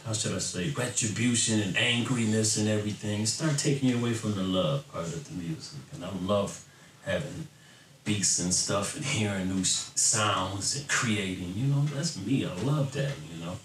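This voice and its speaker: A man's voice: lowish voice, normal speed, a bit bright. Middle-aged American man, roomy distant recording. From Florida, then Michigan, United States. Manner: informal, earnest, rambling, often tagging "you know". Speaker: electronic bass music artist. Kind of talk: casual reflective talk to fans. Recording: roomy, distant mic, faint background noise.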